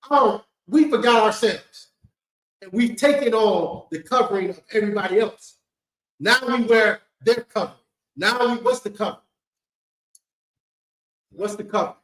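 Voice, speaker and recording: A man leads a holy day gathering in a loose, chatty preaching style, peppering his talk with "okay?" and "you know what I mean?". His voice sits a little high for a man, and the room answers back with a noticeable echo. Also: measured pace, long pauses, a bit bright. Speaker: congregation leader. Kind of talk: informal sermon to a congregation. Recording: echoey hall.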